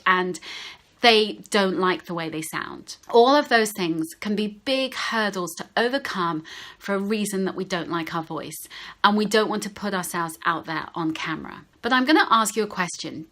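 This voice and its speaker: A woman with a high-pitched voice giving advice straight to the camera as a speaking coach, talking slowly and stressing key words. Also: fairly bright tone. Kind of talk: direct-to-camera advice talk.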